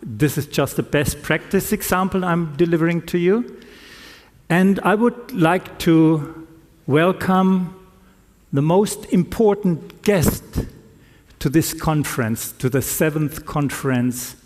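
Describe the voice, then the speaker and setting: Slow-talking non-native speaker at a lectern. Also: male voice, fairly high pitch, expressive, darkish tone. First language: not English. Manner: slow, deliberate, self-deprecating humor. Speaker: conference speaker. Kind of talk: conference talk. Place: conference hall. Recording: reverberant conference hall.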